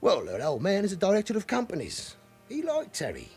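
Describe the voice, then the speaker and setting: London club boss about forty, husky and kinda high voice, swoops all over, evasive, radio play. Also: male voice, huge pitch swings, fairly quick, a little mellow, faint music behind, full character voice. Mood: pleading, protective. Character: forty-year-old club owner, genial, evasive, underworld past. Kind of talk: radio drama acting.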